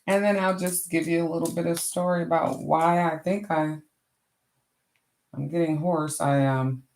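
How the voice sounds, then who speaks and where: A middle-aged woman with a hoarse voice, hosting a casual crafting livestream and chatting to viewers as she works. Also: low-pitched, fairly even intonation, moderate pace. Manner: chatty, greets viewers warmly and often. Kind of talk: casual live craft chat.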